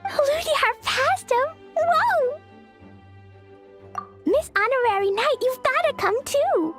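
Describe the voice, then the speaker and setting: Tiny cute kid knight for an anime game, super high n slow, naive and earnest. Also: female voice, animated, exaggerated voice. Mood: eager, gleeful. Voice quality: childlike, cute. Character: innocent little girl knight, eager, mischievous, easily guilty. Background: game music.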